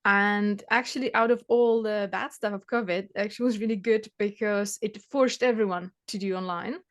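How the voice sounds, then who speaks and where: A woman in her thirties from the Czech Republic chats easily about her tutoring work. Her first language is Czech. She talks at a middle pitch, with frequent fillers, restarts and quick laughs. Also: steady tone. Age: 30s. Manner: chatty, many fillers and restarts, laughs often. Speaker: maths tutor. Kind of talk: casual interview chat.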